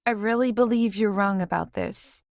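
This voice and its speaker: An earnest, slightly exasperated young woman speaks in a soft, breathy voice pitched high, as a male narrator puts her on. The audiobook sound is dry and close. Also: moderate pace, no echo. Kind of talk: audiobook character voice.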